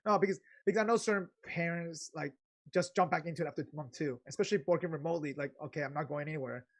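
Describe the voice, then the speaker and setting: A man hosting a casual podcast chat, asking questions quickly and laughing often. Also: fairly high pitch. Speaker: podcast host.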